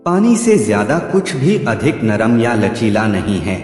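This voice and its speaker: A male narrator reads motivational sayings in measured short phrases. His pitch moves in lively, expressive swings. The voice rings with a long, hall-like echo, and music plays behind it. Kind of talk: scripted motivational quote narration. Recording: echoey hall reverb with background music.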